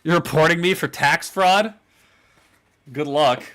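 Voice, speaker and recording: A man in his twenties with a relatively deep voice, hosting a casual chat podcast and teasing his co-hosts with frequent laughter. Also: measured pace, fairly bright tone. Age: 20s. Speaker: podcast host.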